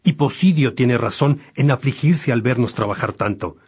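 A man playing an earnest, reflective bishop in a religious radio drama, speaking in a measured, pastoral way. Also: mid-range voice, a little mellow, slightly rough, lightly acted. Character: Saint Augustine, earnest reflective bishop among friends.

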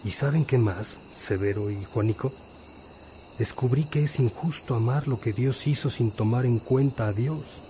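A man's voice: medium pitch, normal speed, mellow, slight background noise, natural voice. An actor playing a wise, patient older priest who teaches his disciples reflectively in a religious radio drama. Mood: teaching. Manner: reflective, didactic.

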